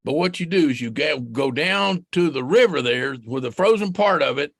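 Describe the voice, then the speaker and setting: A storyteller drops into a sly old trickster fox from a folktale who talks in a folksy, drawling, scheming way. The voice stays close to the teller's own, with a lively swing in pitch, and sits dry and close to the mic. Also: normal speed, creaky, no room sound. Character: sly male fox, smooth-talking trickster. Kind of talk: oral folktale telling.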